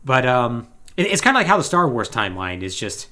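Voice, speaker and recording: A man with a bright, forceful voice and a middling pitch that swings around a lot. He talks casually as a podcast co-host, rambling through explanations with joking exasperation. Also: big pitch swings, a little fast. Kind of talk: casual podcast talk explaining game storylines.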